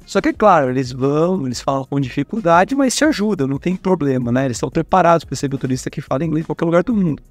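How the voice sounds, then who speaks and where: Chatty Brazilian man, higher voice. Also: lively intonation, rapid-fire, hardly any pauses, no echo, soft background music. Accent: Brazilian Portuguese. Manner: chatty, talks with his hands, frequent tag questions. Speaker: guest speaker at a career fair. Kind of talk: casual storytelling interview.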